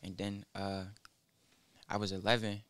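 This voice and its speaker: A nineteen-year-old man, a rapper guest in a small studio, speaking haltingly into a boom mic with many fillers. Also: flat delivery, fairly bright tone, smooth. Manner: halting, mumbled, full of fillers. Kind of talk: casual interview. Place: small studio with foam wall. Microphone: studio mic on boom arm, headphones.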